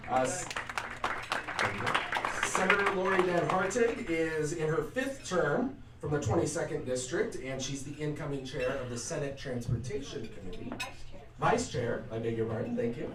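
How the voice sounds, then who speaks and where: Male American event moderator, mid-pitched, informal, heard off-mic in a reverberant room. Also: noisy background. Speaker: event host and panel moderator. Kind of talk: informal introductions and panel moderating. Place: indoor event room at a lunch. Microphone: shared event microphone. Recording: echoey room, distant microphone.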